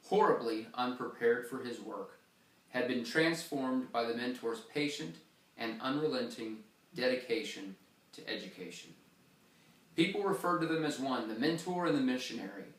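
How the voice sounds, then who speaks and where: A man reading a story aloud in a church, with expressive pauses and shifts in loudness. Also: medium pitch, roomy sound, far from the mic, low-quality recording. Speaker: reader.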